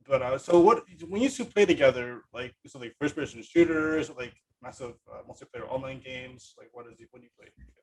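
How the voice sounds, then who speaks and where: A man's voice: mid-range voice, fairly quick, a little mellow, low-quality recording. A man hosting a casual interview, chatting enthusiastically in a rambling way and often repeating himself for emphasis. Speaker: podcast host.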